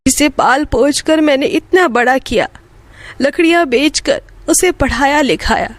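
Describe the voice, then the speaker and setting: A woman's voice: high voice, unhurried, no echo. A woman voicing a poor, loving old village mother in a storybook tale, plain-spoken and motherly. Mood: distraught. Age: 60s. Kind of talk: Hindi bedtime moral story dialogue.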